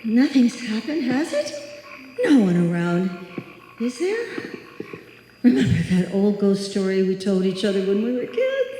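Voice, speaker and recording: Woman acting anxious, slow-talking woman in echoey hall. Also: mid-range voice, wildly swooping pitch, dark tone. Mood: uneasy recalling. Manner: halting, talking anxiously to herself. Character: frightened woman walking home alone at night, self-reassuring. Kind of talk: live old-time radio suspense acting. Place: live stage performance in a hall. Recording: echoey hall, long reverb, faint noise.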